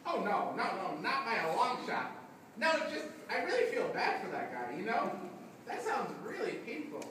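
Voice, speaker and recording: Performer playing a gloating demon, hesitant drawn-out phrasing, swooping pitch, puppet show, very echoey room. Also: wildly swooping pitch, fairly bright tone. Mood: chatty. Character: gloating, chatty fiend from hell. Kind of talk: live puppet show dialogue. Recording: echoey room, noisy, distant mic.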